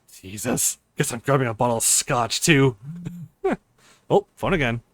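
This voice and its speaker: A man's voice: mid-range voice, wildly swooping pitch, slow pace, a bit bright, no echo. A man casually playing through a visual novel, reading dialogue aloud and reacting readily with exclamations. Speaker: let's play streamer.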